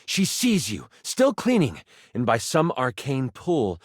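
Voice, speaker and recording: An actor playing a theatrical game master, narrating a fantasy adventure in a dramatic, suspenseful way. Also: male voice, medium pitch, wildly swooping pitch, slow, no room sound, studio quality, exaggerated voice. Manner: dramatic, suspenseful second-person storytelling.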